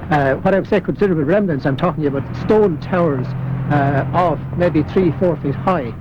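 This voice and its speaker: A man's voice: a little high, normal speed. Hesitant Irish man with street noise behind. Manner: hesitant, frequent uh fillers. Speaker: local historian. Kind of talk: walking interview. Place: outdoors in city streets. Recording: muffled 1980s broadcast with street noise.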